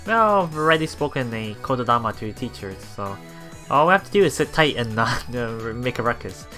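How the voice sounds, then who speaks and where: A man reading a visual novel aloud as a let's play streamer, haltingly, with repeats and self-corrections. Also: mid-range voice, big pitch swings. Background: soft game music.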